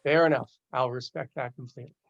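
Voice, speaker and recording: A man running for governor, giving a forceful, rallying campaign statement at a forum. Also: medium pitch, fairly even intonation, quick pace, creaky. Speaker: gubernatorial candidate. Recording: dull lo-fi audio.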